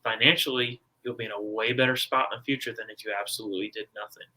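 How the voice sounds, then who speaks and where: A man's voice: fairly low pitch, fairly even intonation, fast talker, bright, forceful tone, slightly rough, rough recording. An American man talking casually as an interview guest, making his points in an emphatic, repetitive, colloquial way. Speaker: guest author. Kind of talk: casual conversational explaining.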